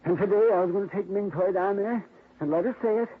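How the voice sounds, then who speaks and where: A man's voice: high-pitched, close to an everyday voice. A man playing a blustering older dog owner. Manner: blustery, gruff, quick-tempered. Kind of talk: 1950s radio drama acting. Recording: tinny old radio broadcast, faint hiss.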